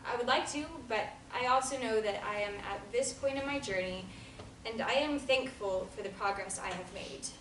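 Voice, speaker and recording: A woman reading the part of an earnest young woman who lacks confidence. She speaks in an earnest, halting way. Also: a little high, very noisy, lightly acted. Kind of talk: table reading of a stage play. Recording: echoey room from a distant mic.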